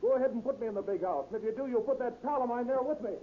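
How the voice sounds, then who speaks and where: A man's voice: very high-pitched, racing. An actor playing a terse, tough-talking man in a muffled, noisy 1930s radio crime drama. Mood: defiant. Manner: clipped, slangy.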